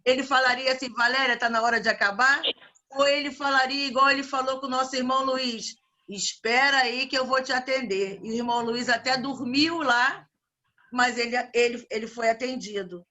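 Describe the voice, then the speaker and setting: A woman's voice: sits high, steady tone, a little fast. A woman speaking casually in an online spiritual meeting, an organizer who talks with frequent restarts. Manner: warm religious farewells, many restarts. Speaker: event organizer and evangelizer. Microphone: video call.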